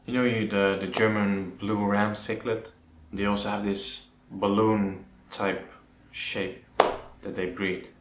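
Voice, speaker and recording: A Dutch man with a fairly low voice speaks casually to his viewers, often pausing to think. His intonation stays very flat. The recording has a clear room echo and faint noise behind him. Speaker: host of an aquascaping channel. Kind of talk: casual question-and-answer talk to camera. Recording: roomy echo with background noise.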